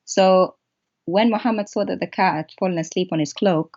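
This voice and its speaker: A woman's voice: lowish voice, a little fast, a little mellow. Mocking woman, dull lo-fi sound. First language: Arabic. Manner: mocking, theatrical storytelling. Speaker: co-host of an online show. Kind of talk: sarcastic online discussion.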